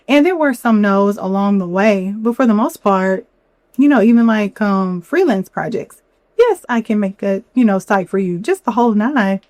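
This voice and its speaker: A young woman hosting a solo podcast, a little hoarse from a cold, talking candidly with lots of "you know". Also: medium pitch, a little mellow, no echo. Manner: candid, chatty, many fillers and "you know". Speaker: podcast host. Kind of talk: reflective solo podcast talk.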